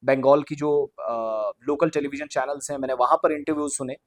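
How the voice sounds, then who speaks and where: A man's voice: on the high side, little pitch movement, fairly quick. A man giving unscripted news commentary to viewers, explaining and restating his points in Urdu-heavy wording sprinkled with English. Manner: Urdu-heavy vocabulary mixed with English words.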